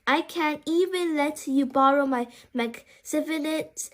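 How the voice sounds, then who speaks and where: A woman voicing a kindly, eager grandfather in a children's story, speaking emphatically and haltingly. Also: high-pitched, pitched above the performer's own voice.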